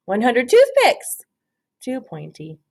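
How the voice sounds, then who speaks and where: A woman's voice: a little high, huge pitch swings, takes it slowly, vocal fry, noisy background, voice pushed up, heavily acted. A woman voicing an anxious young schoolgirl from a storybook, fretting in groaning questions. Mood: doubtful fretting. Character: anxious first-grade girl fretting over everything.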